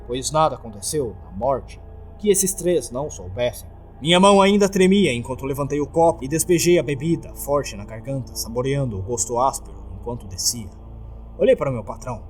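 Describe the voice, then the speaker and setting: A man with a Brazilian accent narrating a scripted horror story. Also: mid-range voice.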